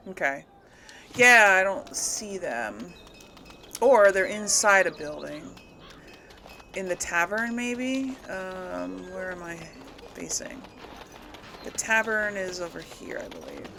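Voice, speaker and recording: A woman giving casual game commentary as she plays, musing and thinking out loud to her audience. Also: fairly low pitch, big pitch swings, slow pace, vocal fry, pauses often, background noise. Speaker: gamer, host of a role-play let's play.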